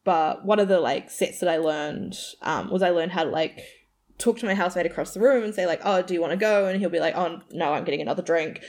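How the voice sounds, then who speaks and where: A woman's voice: on the low side, fast talker, a little mellow. A young woman, co-hosting a casual podcast, chatty with lots of fillers and laughs. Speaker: podcast co-host.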